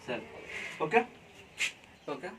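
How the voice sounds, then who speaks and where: Slow-talking Indian man teaching a class. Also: noisy background. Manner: slow and deliberate, keeps checking in with "okay?". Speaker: college teacher. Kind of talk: classroom lesson on letter writing. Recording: distant mic with faint room ambience.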